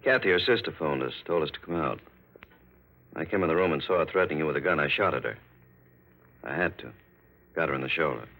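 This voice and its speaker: A very deep male voice playing a police captain in a 1940s radio thriller. He is steady and authoritative, laying things out in a matter-of-fact way. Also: quick pace, creaky, long pauses, full character voice, poor audio.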